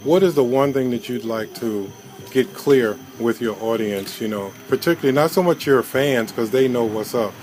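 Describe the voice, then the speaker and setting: A man's voice: medium pitch, moderate pace, a little hiss or hum. A man interviewing a group of women on location, asking loose, rambling questions. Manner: relaxed, asks rambling questions with fillers.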